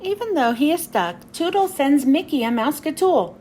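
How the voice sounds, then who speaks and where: A woman's voice: high-pitched, expressive, normal speed, dark tone. A woman reading a children's storybook aloud as narrator, expressively, as if to young children. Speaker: children's book reader. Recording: faint background noise.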